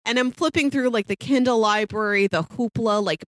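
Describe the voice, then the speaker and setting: A woman's voice: fairly high pitch, normal speed, a bit bright, dry recording. A woman co-hosting a podcast, discussing TV casually and expressively, acting out imagined quotes as she goes. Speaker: podcast co-host.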